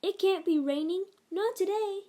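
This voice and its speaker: A woman's voice: very high-pitched, normal speed, mellow, pitched above the performer's own voice. A woman voicing an eager, imaginative little boy in a picture-book story, exclaiming playfully. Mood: disappointed, whiny.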